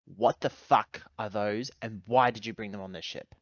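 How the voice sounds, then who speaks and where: A man's voice: lowish voice, steady tone, normal speed, no room sound, muffled recording, lightly acted. A man playing a tough, threatening female spaceship officer with a blunt, commanding manner in a tabletop game.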